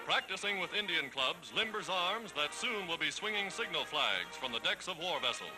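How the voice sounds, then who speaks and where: Old wartime newsreel guy, american man, highish voice, very declamatory, music really loud behind him. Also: very animated, moderate pace, poor audio. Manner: dramatic, declamatory, formal. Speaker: newsreel narrator. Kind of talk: 1940s newsreel scripted narration. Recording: vintage 1940s newsreel soundtrack, loud music.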